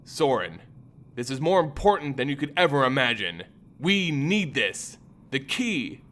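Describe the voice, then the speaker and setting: A man's voice: mid-range voice, sing-song, unhurried, fairly bright tone. A man voicing an elder vampire grandfather, grave and insistent, in a fantasy story reading. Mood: urgent.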